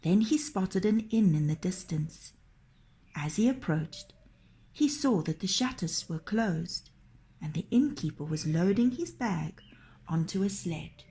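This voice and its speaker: A woman reading a children's tale as a storyteller, in an expressive, dramatic and deliberate manner. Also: lowish voice.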